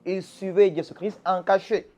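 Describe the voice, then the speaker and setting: A man's voice: high voice, flat delivery, moderate pace, darkish tone. A man, a pastor, answering interview questions in an earnest, preaching manner, often repeating words as he restarts a phrase. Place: Quebec.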